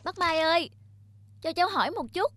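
A woman's voice: very high-pitched, animated, brisk, noisy background. A woman voicing a polite, curious and caring young woman in a cartoon. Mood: polite, puzzled. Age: young adult. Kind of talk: cartoon voice acting.

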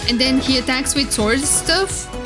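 A woman streamer giving excited, casual reaction commentary in a playful, flirty, gushing way. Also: high voice, unhurried. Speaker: streamer reacting to game trailers. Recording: loud music behind. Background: game trailer music and sound.

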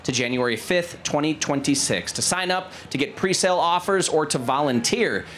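A man hosting a live TV show from an outdoor stage, asking questions and briskly reading out event details into a handheld mic. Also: on the high side, expressive, a bit bright, slight background noise. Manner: brisk, reads out event info. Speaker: TV host. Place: outdoor state fair stage.

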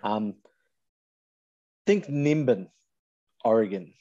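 A man's voice: fairly low pitch, lively intonation, drawn-out words, warm tone, dry recording. An Australian man co-teaching an online class, with a dry manner, cutting in to correct his partner. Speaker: co-instructor, metallurgist. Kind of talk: casual co-teaching banter. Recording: dull, dry lo-fi call audio.

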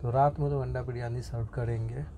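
A young man films his train journey on a phone and keeps up a loose, rambling commentary full of fillers and restarts. His voice sits in a middle pitch. Clear station and carriage noise runs under his voice. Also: steady tone, fairly quick, warm tone. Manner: chatty, thinking aloud with frequent fillers and restarts. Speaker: vlogger. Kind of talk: casual travel vlog commentary. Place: inside a train compartment at a station, by the window. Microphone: phone camera held near the window. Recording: noisy phone recording in a train. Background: station and train ambience.

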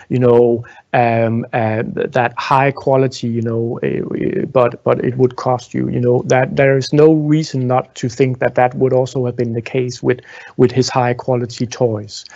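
A man in his thirties giving an informal guided tour, explaining in a halting way with repeated words. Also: medium pitch, flat delivery, creaky, darkish tone, no echo, muffled recording. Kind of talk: informal guided tour talk, answering questions. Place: indoor museum exhibition room. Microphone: small clip-on lapel mic.

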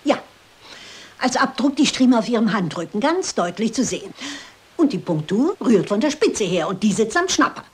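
A woman's voice: fairly high pitch, very animated, moderate pace. An actress playing a shrewd elderly lady detective in a German crime drama, speaking precisely and with polite insistence. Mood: shrewd, explaining. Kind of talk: German dubbed crime film acting.

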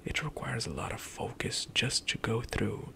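A young man whispering softly in a slow ASMR ramble over gameplay, close to the mic, at home. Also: on the low side, expressive, vocal fry. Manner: soft whispered rambling with light laughs. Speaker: ASMR gaming streamer. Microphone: close studio mic, headset on.

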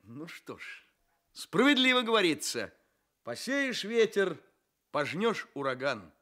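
An actor playing a courteous, playful storyteller with theatrical, drawn-out emphasis in a children's radio play. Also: male voice, high-pitched, very animated, bright, forceful tone, full character voice. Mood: soothing, grand. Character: courteous, playful male storyteller addressing fairy-tale characters. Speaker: storyteller and host.

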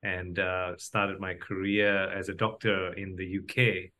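Middle-aged male guest from Malaysia, talking reflectively in a bright, forceful, somewhat low voice. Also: level tone, measured pace, slight background noise. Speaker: podcast guest, doctor turned entrepreneur. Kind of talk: conversational interview answers.

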